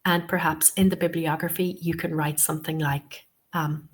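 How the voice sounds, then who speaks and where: A woman with a slightly low voice and a sniffly cold leads an online teacher-training webinar. She explains things patiently, keeps checking in with "okay" and comes back to the same points more than once. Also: little pitch movement, moderate pace. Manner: patient, repeats key points, many "okay"s and "um"s. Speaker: TEFL course tutor. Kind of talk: webinar instruction.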